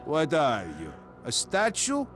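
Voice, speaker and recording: A man's voice: a little high, huge pitch swings, slow, fairly bright tone, soft background music, exaggerated voice. A man playing a curt, impatient male wasteland trader in a video game, brusque in manner.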